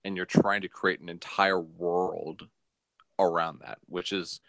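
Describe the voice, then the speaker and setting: A man co-hosting a podcast in casual film talk, halting and often repeating his phrases. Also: low voice, flat delivery, vocal fry, a bit bright, no echo. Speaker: podcast co-host.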